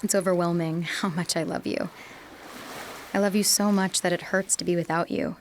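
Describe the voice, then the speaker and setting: A young woman playing an insecure, devoted girlfriend who speaks in a hushed, faltering way in a student radio drama. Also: lowish voice, steady tone, moderate pace, a little hiss or hum, natural voice. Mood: fearful.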